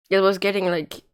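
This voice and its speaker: A woman livestreaming a game with casual, informal chat and commentary, her nose congested and her voice slightly nasal. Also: medium pitch. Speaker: game streamer.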